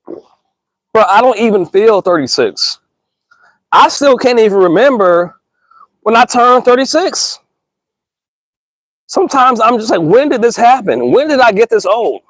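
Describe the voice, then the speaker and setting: A man in his thirties with a notably high voice, talking to his listeners in an earnest, rambling way. He slips into slang, restarts his sentences and calls everyone bro and y'all. The sound is close and dry, with no room around it. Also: big pitch swings, a little slow, pauses often, a bit bright, no echo, poor audio. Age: 30s. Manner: rambling with frequent restarts and stammers. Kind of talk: casual reflective monologue.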